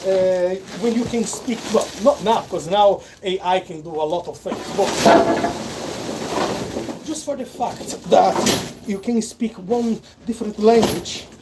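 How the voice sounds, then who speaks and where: Brazilian man rambling over loud noise. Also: high-pitched, measured pace. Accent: Brazilian Portuguese. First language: Brazilian Portuguese. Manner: rambling, self-correcting, conversational. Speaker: livestreamer. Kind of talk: casual livestream chat. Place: at work, sorting bags.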